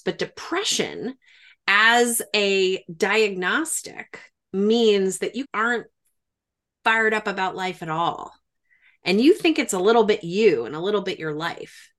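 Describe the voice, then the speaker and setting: A woman's voice: mid-range voice, fairly bright tone. Woman explaining earnestly in conversation. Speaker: podcast host and former clinician. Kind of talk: reflective interview conversation.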